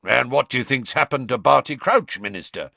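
A man reading an audiobook and voicing a gruff, growling, suspicious old man. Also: mid-range voice, expressive, brisk, sharp tone, dry recording, pitched above the performer's own voice, heavily acted.

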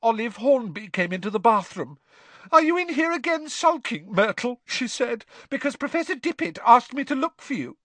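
A man voicing a glum, touchy teenage girl ghost in a storybook, with a shrill, wailing, self-pitying delivery. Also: sing-song, a bit bright, no room sound, heavily acted. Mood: aggrieved, self-pitying. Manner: wailing, drawn-out, mournful delivery.

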